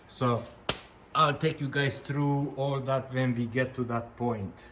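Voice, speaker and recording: A man with a medium-pitched voice talks at an unhurried, slow pace, like a vlogger showing viewers around a job. He explains things step by step in a casual way and addresses the audience directly. Clear ambient and vehicle noise sits behind him. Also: steady tone. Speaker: vlog host. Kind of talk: casual hands-on vlog talk to viewers.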